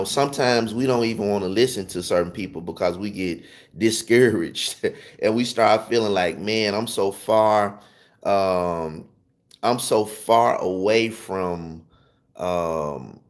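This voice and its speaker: A man with a Southern US accent giving an informal music lesson, emphatically repeating and rephrasing his points. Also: on the low side. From Memphis, Tennessee, southern US. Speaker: music teacher. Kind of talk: informal instructional talk to viewers.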